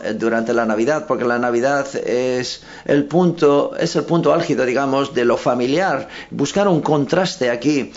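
A man interpreting live at a press conference, hesitant, often breaking off to correct himself. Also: mid-range voice. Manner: hesitant, with frequent self-corrections. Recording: dull lo-fi sound.

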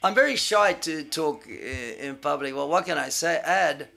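A man interpreting live, delivering phrases in halting pieces as he renders other people's remarks. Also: on the high side, lively intonation, vocal fry, bright, forceful tone.

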